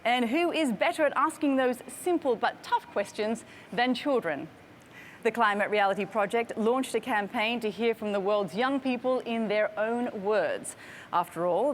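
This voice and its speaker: An upbeat woman hosts and presents from a script. Her voice sits a little high. Faint hiss runs underneath. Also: unhurried. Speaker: host of a broadcast.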